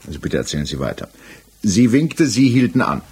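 An actor in a 1960s German radio play, playing an examining judge who questions in a pointed, insistent, official and impatient way. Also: male voice, fairly low pitch, sing-song, a little fast, a little mellow, slight background noise. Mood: dry, sceptical.

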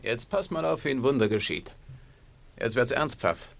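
Gravelly mocking gangster, menacing, radio drama, slight noise. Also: male voice, mid-range voice, lively intonation, moderate pace. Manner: coarse, mocking. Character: menacing, sneering male crook with a revolver. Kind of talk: radio play dialogue.

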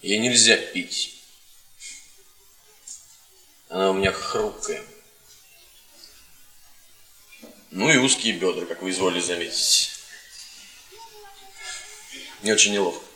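An actor playing a restrained, self-regarding young academic husband in a radio play, with a stiff, polite, measured manner. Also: male voice, deep voice, steady tone, sharp tone. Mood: embarrassed. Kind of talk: theatrical radio play acting. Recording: echoey room radio theatre recording.

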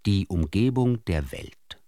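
Wry literary storyteller for musical radio drama, deep register, very varied intonation, deliberate theatrical delivery. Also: male voice, expressive, over loud music, exaggerated voice. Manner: deliberate, theatrical, with pointed pauses. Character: wry male storyteller of absurd fairy tales. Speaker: narrator.

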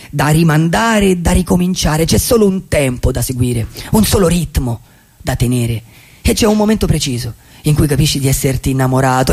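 Husky, gravelly lonely vagabond dreamer. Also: male voice, a little high, darkish tone, exaggerated voice. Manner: reflective, halting, with dramatic pauses and repeated phrases. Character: lonely, dreamy male vagabond, wistful and philosophical. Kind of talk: poetic radio theatre monologue.

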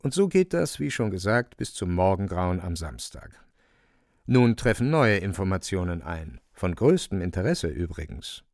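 A man's voice: low-pitched, big pitch swings, moderate pace, a little mellow, dry recording, clean studio sound. A man narrating a radio play from a script, a wry storyteller with colloquial asides.

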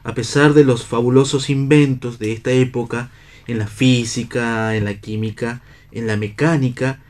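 Preachy, idealistic workingman played by an actor, medium pitch. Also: fairly even intonation, moderate pace, a little mellow, lightly acted. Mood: preaching, resolute. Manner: declamatory, sententious. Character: idealistic man in worker's clothes, preachy philosopher. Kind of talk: read radio theatre.

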